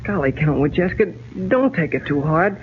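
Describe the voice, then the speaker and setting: An earnest, loyal American youngster in 1940s radio adventure. The boyish voice is high, excitable and very lively. It comes through thin and hissy, as on an old broadcast. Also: male voice, moderate pace, phone-quality sound. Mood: earnest, concerned. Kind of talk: 1940s radio serial acting.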